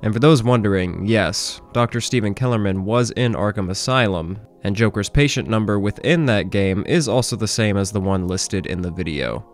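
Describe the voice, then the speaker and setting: A man's voice: fairly low pitch, moderate pace, hardly any pauses, faint music behind. A man hosting a horror review, reading a scripted video essay as narration. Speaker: host, horror series reviewer.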